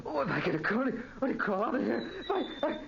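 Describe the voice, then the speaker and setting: An actor playing a terrified, trapped young man in 1940s radio drama style, halting, breathless and melodramatic. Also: very high voice, very animated, creaky, exaggerated voice. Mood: mounting panic. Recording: noisy, muffled 1940s radio recording.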